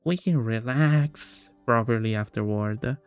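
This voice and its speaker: Guy doing a breezy friendly cat guy at a bar, visual novel, bit creaky, faint music behind. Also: medium pitch, unhurried, lightly acted. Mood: coaxing, relaxed. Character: easygoing, friendly male feline bar customer.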